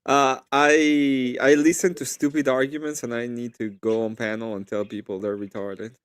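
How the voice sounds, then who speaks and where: Man, mid-pitched, crude jokey banter, dry close sound. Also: level tone, moderate pace, smooth, no room sound. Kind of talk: casual group chat.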